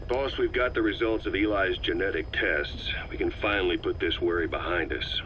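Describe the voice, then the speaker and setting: A male actor voicing a calm, calculating veteran intelligence officer in a video game, speaking in a measured, reflective way on a taped conversation. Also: low-pitched, highs cut off, lightly acted. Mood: reassuring. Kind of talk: video game dialogue on audio tape. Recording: cassette recording with background noise.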